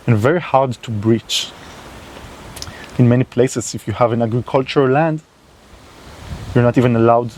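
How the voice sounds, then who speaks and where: Man talking slowly to camera, mellow tone, halting with restarts, faint hiss behind. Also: medium pitch, poor audio. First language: not English. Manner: slow, hesitant, with many fillers and restarts. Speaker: channel host. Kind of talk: unscripted solo talk to camera.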